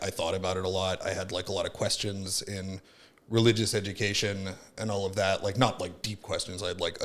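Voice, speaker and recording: A man in his thirties from Southern California, a podcast guest telling his story in a rambling, casual way full of fillers. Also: low-pitched. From Southern California, born in Finland. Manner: rambling, many fillers like "like" and "right?". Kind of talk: casual reflective chat.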